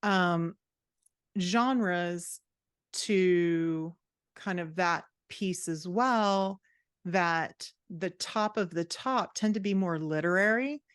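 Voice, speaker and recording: A woman's voice: lowish voice, drawn-out words, clean tone, no echo, clean studio sound. A woman guest talking casually and expressively in an interview, giving her opinions in informal language. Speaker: podcast guest, fantasy author and writing coach.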